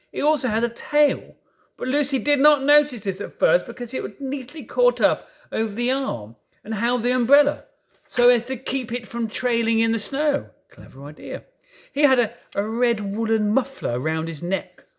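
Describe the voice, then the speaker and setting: A man reading a children's story aloud in an expressive, dramatic way, with playful asides. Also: sits high. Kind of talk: animated storybook narration.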